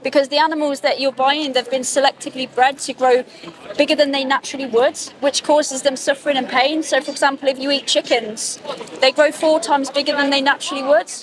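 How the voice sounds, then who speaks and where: High-pitched woman doing street outreach, earnest and pushy-but-polite, loud crowd all around. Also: level tone, brisk. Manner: earnest, persuasive, asks probing questions. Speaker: street interviewer. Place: outdoors in a busy public street. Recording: outdoor street recording with crowd noise.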